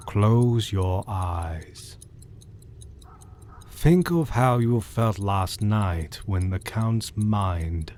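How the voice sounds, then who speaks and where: An actor playing an old male vampire-hunting professor who speaks in a measured, calm, grave manner in an audio drama. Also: sits low, slight background noise, heavily acted. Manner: slow, measured hypnotist's cadence. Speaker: Doctor Van Helsing.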